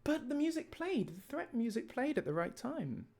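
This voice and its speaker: Creaky-voiced man thinking aloud on a livestream. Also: very high voice, big pitch swings, normal speed, darkish tone. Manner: thinking aloud, muttering to himself. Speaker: game mod developer and livestream host. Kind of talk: casual livestream talk while troubleshooting.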